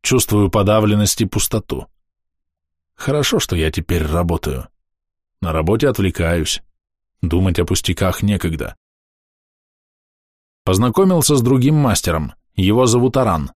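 A man reading a first-person diary aloud in a calm, matter-of-fact, measured way. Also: low-pitched, no room sound, studio quality. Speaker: audiobook narrator.

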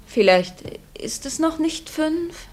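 An actress in a German radio play playing an anxious, haunted young wife who speaks in a hushed, fretful way. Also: female voice, high-pitched, expressive, slow. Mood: subdued, uneasy.

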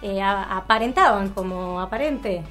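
A woman's voice: medium pitch, normal speed. Argentine woman chatting on radio over music. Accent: Argentine Rioplatense. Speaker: radio co-host. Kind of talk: casual panel chat.

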